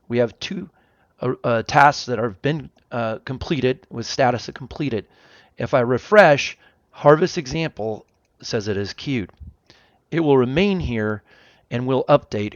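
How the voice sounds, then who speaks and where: A man narrating an informal software demo as presenter, explaining things step by step. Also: mid-range voice, no echo, lo-fi sound. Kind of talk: informal product walkthrough narration.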